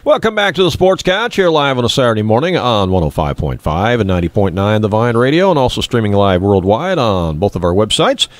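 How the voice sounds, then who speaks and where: A man's voice: fairly low pitch, very animated, brisk, hardly any pauses, no echo, soft background music. A man hosting a casual live sports radio show from a studio, sounding scratchy and nasally with a lingering cold. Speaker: sports talk radio host.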